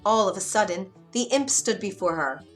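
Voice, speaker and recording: A woman's voice: mid-range voice, lively intonation, normal speed. A woman narrating a scripted bedtime fairy tale for children, expressively and with dramatic emphasis. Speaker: children's storyteller. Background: music.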